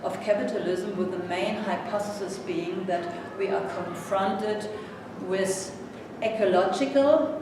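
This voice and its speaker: Echoey, distant German woman lecturing. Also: mid-range voice. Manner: halting, self-deprecating, courteous. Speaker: guest lecturer, professor. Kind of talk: seminar lecture. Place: indoor seminar room. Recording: echoey room from far off, noisy.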